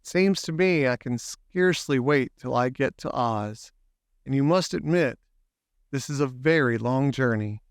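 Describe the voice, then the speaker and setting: A man's voice: medium pitch, measured pace, dry recording, clean studio sound, close to an everyday voice. A man voicing a wistful, weary traveller made of tin in a storybook. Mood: wistful impatience.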